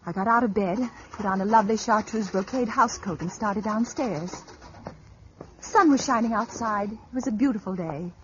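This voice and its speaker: A woman's voice: medium pitch, moderate pace, close to an everyday voice. An actress playing a tense, brittle woman tormented by noise, speaking precisely and dramatically in 1940s radio drama style. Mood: haunted, controlled. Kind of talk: 1940s radio drama acting, first-person narration. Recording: muffled old radio recording, loud music.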